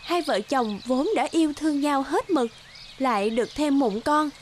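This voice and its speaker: High-voiced woman telling a fairy tale. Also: normal speed, noisy background. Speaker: narrator. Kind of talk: scripted fairy-tale narration. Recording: loud children's music behind. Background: loud children's music.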